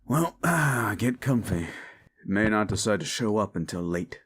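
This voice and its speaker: A man voicing a gruff, seasoned demon monster hunter in an action-horror audio roleplay, with a gravelly voice and a wry, laconic, protective manner. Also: lowish voice, big pitch swings, measured pace, a bit bright, exaggerated voice. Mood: wry, relaxed.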